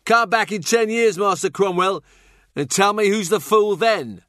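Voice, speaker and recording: A man voicing a scrawny, bitter court fool with a shrill London voice, mocking and taunting, in an audiobook. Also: a little slow, a bit bright, dry recording, studio quality. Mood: gleeful mocking. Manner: mocking, jeering.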